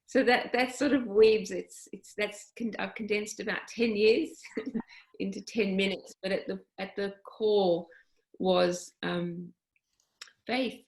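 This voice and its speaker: A woman telling her personal story on a panel call, reflective and halting, with many pauses and fillers. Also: medium pitch, steady tone, echoey room, low-quality recording. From Australia. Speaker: guest.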